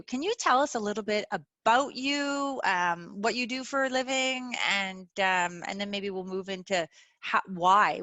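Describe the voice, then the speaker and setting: A middle-aged woman hosting a webinar over a video call, talking earnestly with many pauses and fillers. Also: medium pitch, sharp tone, no room sound. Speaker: webinar host. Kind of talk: casual chat.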